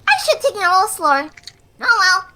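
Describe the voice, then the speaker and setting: Bubbly torturer pony, very high and lively, mock-regretful cartoon menace for a horror tale. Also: female voice, fast talker, exaggerated voice. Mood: mock disappointment. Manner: chirpy, playful, mock-regretful. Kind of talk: character voice in a horror fan fiction reading.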